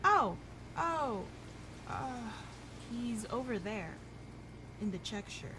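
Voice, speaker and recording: A woman's voice: fairly high pitch, very animated, takes it slowly. A woman playing a polite, flustered night-shift worker in a naturalistic audio drama. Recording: loud ambient background noise.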